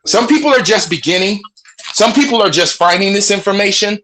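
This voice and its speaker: A man with a strong, loud voice, speaking passionately on a group phone call. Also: sits high, expressive, brisk. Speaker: webinar participant. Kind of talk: casual group call talk.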